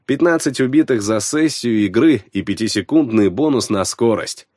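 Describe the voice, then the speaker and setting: A man narrating fiction in the first person, scripted and expressive, on a close studio mic. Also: medium pitch, moderate pace, no echo. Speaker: audiobook narrator. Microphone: close studio microphone.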